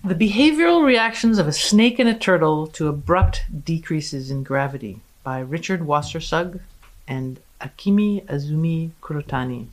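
Deep-voiced woman giving a dramatic reading. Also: lively intonation, moderate pace, rough recording. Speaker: dramatic reader of research papers. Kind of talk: dramatic reading of a scientific paper.

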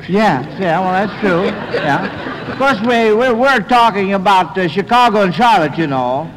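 A man with a high-pitched voice hosts a vintage radio quiz show. He talks to children in a folksy, chatty manner, often halting and repeating himself as he goes. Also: animated, noisy background. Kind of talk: old-time radio quiz show hosting, addressing children.

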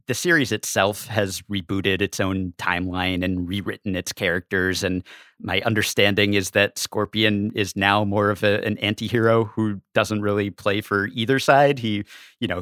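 A man talks as a podcast guest, giving casual commentary. His voice is on the low side, and the sound is dry and close. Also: a bit bright, no echo.

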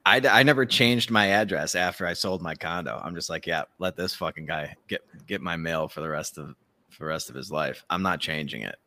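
A man's voice: deep voice, fairly quick, pushed and bright. A man co-hosting a podcast in casual chat, with a sardonic, sweary way of talking. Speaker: podcast co-host.

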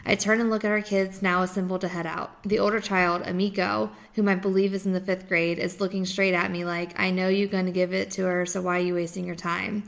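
A woman reading a memoir chapter aloud in a steady, even way. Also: mid-range voice, brisk, gravelly, few pauses. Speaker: reader of a book chapter.

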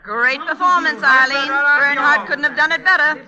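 An actress playing a quick-witted, struggling young writer with a dry, sardonic tongue in a vintage radio comedy. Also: female voice, high-pitched, crowd noise, lightly acted. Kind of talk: 1940s radio comedy acting. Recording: vintage radio broadcast recording.

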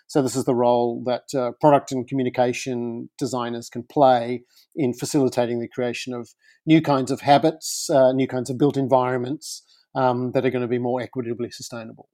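A man, a professor introducing his research in measured, academic phrasing. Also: mid-range voice, level tone, dark tone. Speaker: guest professor. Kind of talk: podcast interview.

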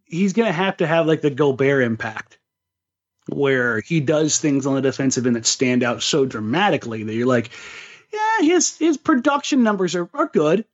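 A man co-hosting a sports podcast, talking casually and giving his opinions in long, thinking-aloud explanations. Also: a little high. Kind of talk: casual sports talk and analysis. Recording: slightly dull, lo-fi sound.

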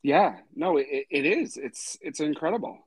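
A man's voice: a little high, expressive, normal speed, husky, no echo. A man hosting a podcast in a laid-back, casual way, swearing freely and saying "you know" a lot. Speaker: podcast host.

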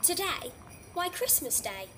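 A woman's voice: very high-pitched, sing-song, measured pace, bright, forceful tone, exaggerated voice. A woman playing a cheeky, bewildered young street boy in an audio drama, incredulous and exclamatory. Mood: surprised, eager. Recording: distant mic, noisy street ambience.

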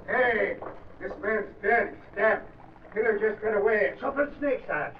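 An actor playing an urgent police sergeant barking orders in an old radio drama. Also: male voice, sits high, a little slow, a little hiss or hum. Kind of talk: 1940s radio acting. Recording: distant, echoey, muffled old radio recording.

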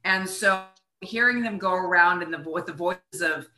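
Very bright-voiced older woman, echoey room. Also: fairly low pitch, normal speed. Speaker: actress, interview guest. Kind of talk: casual reminiscing in an interview.

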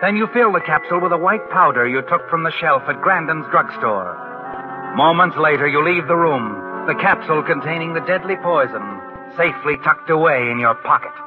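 Loud music swells under a tinny old radio recording of a male actor as an ominous narrator. The voice is fairly high for a man, insinuating and dramatic, like a taunting conscience in a 1940s thriller. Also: lively intonation, normal speed, lightly acted.

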